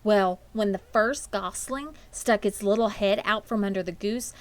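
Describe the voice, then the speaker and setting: A woman's voice: medium pitch, measured pace, lightly acted. A woman voicing an eager, earnest young farm girl in a children's storybook, thoughtful and matter-of-fact. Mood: enthusiastic.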